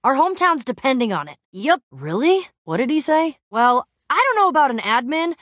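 Young adventurer girl from a video game, high pitched, sarcastic but excitable, awkwardly playing it cool, dry clean sound. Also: moderate pace, no room sound. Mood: awed, casual. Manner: casual, slangy, eager and awkwardly trying to sound cool. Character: brash young woman adventurer, excitable, sarcastic, starstruck fangirl. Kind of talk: animated game dialogue acting.

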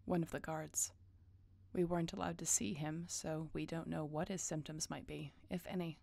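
A woman's voice: deep voice, fairly even intonation, moderate pace, creaky, background noise. A woman playing a cool, dutiful werewolf enforcer in an audio drama, curt and controlled. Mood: businesslike. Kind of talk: audio roleplay drama acting.

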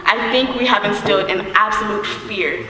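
A young woman performing spoken word poetry on a theater stage, declaiming emphatically with deliberate repetition. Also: mid-range voice, bright, forceful tone. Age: young adult. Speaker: student spoken word poet. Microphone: microphone on a stand. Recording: very echoey hall, distant, faint noise.

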